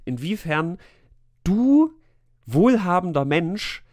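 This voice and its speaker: Man, podcast co-host, teasing and jokey, mid pitch, dry close sound. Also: no room sound. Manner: joking, teasing, laughs often. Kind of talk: casual podcast banter.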